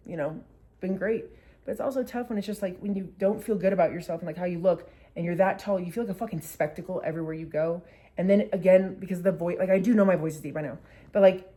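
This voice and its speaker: A woman's voice: mid-range voice, mellow. A young woman vlogger telling a personal story in a candid, emotional way, full of filler words. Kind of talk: casual storytelling vlog.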